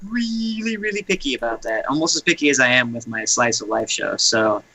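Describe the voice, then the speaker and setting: A man's voice: medium pitch, expressive, a little fast, gravelly, sharp tone, slightly rough, rough recording. A man co-hosting an anime podcast, chatting casually in a laid-back way. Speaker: podcast co-host.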